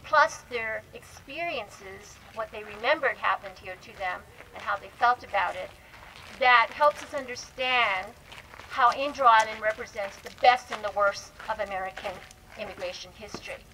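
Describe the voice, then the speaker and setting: A woman's voice: fairly high pitch, sharp tone, low-quality recording. A woman giving an informal explanatory history presentation to a live panel event, often hesitating and correcting herself. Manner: explanatory, halting with self-corrections. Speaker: panel speaker, historian and researcher. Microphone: event microphone. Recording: live event mic, faint background noise.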